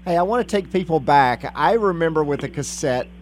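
Lively higher-pitched man interviewing. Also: fairly quick. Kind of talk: casual interview questions. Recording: faint background noise and hum.

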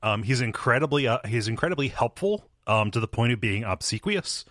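A man co-hosting a casual game podcast, hesitant with restarts and quick laughs. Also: medium pitch, expressive, fairly bright tone, no echo, clean studio sound. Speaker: podcast co-host.

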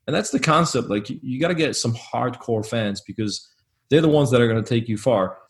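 A man being interviewed as a business owner, talking casually in a loud, emphatic way that often repeats words. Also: medium pitch, fairly quick.

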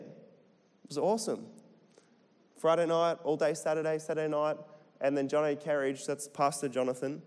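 A man's voice: fairly high pitch, a little mellow. A middle-aged Australian man from Central Queensland, preaching a chatty, joking sermon and putting questions to the congregation. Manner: chatty, jokey, asks the crowd questions. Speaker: pastor. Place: church hall. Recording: long hall reverb.

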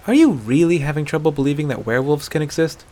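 A fierce fantasy huntress, played by a man, hot-tempered and brash. The voice sits high and swings widely in pitch, breaking into exasperated, stammering, shouted outbursts. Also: lively intonation, fast, close to an everyday voice. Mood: exasperated, indignant. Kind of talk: dramatic fantasy audiobook character voice.

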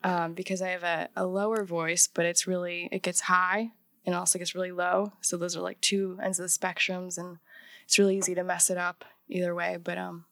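A woman's voice: lowish voice, level tone, a little fast, gravelly, fairly bright tone, no echo, studio quality. A young woman, a painter and singer, chatting as a guest in an interview with lots of "like" fillers. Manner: chatty, many "like" fillers, laughs often. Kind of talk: casual interview chat.

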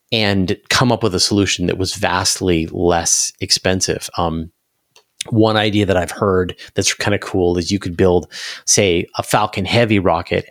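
A man's voice: sits low, no room sound, studio quality. A man talking conversationally as an interview guest, explaining things at length and restarting phrases as he reasons aloud. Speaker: interview guest, space news commentator.

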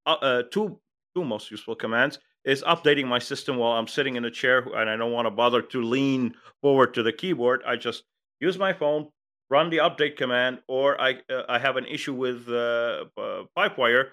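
Lebanese-accented man, bright lively chat. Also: medium pitch. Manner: animated, halting with many fillers and restarts. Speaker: podcast co-host. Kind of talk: casual tech podcast chat.

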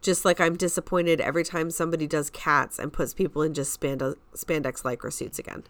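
A woman's voice: sits low, fairly even intonation, a little fast. A woman co-hosting a podcast, chatting casually and giving emphatic opinions in pointed statements. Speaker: podcast co-host.

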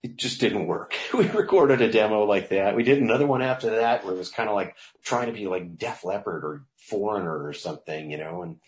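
A man's voice: on the low side, fairly quick, hardly any pauses. A middle-aged man, a songwriter guest, talking loosely in an interview, rambling with restarts. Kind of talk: casual interview talk.

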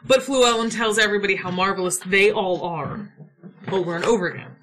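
A woman's voice: mid-range voice, brisk, a bit bright. A young adult woman co-hosting a casual chat, retelling a play in an irreverent, swearing, playful way. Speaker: podcast co-host.

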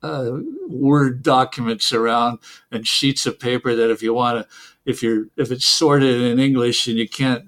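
A man's voice: medium pitch, fairly bright tone. A middle-aged man from Canada, answering interview questions casually with many restarts and repeated words. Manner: hesitant, repeats words, chuckles between points. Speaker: software developer guest.

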